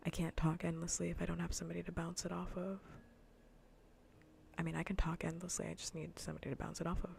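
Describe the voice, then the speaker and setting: A woman livestreamer chatting casually in a soft, breathy near-whisper, rambling bluntly and sardonically with plenty of swearing in an ASMR style. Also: lowish voice, flat delivery, quick pace, noisy background. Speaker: livestreamer hosting an ASMR gaming stream.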